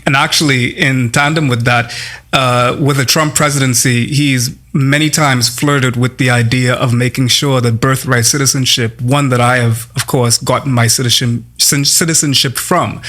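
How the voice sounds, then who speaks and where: A man's voice: mid-range voice, steady tone, fairly bright tone. Earnest man, roundtable guest. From Bahamas. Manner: earnest, deliberate, with filler pauses. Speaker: panel guest. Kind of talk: roundtable discussion.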